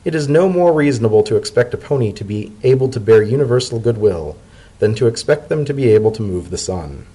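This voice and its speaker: A man's voice: mid-range voice, expressive, mellow, slightly rough. A man voicing a polite, thoughtful pony diplomat in a storybook reading, speaking formally and sincerely. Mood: earnest, measured. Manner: formal, measured, sincere speech. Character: earnest, polite male pony civil servant, thoughtful diplomat.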